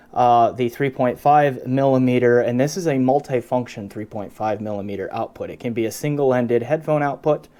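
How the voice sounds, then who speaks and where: A man with a mid-pitched voice talks through a hands-on product demonstration. He is conversational and unscripted, working out his points aloud and correcting himself along the way. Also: a little mellow. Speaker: audio gear reviewer, channel host.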